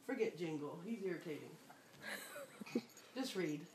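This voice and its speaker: A woman's voice: low voice, lively intonation, unhurried, darkish tone. A woman talking casually and giving instructions, prompting a young reader line by line. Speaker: adult coaching a child reader. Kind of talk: casual family talk and prompting. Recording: echoey room, faint background noise.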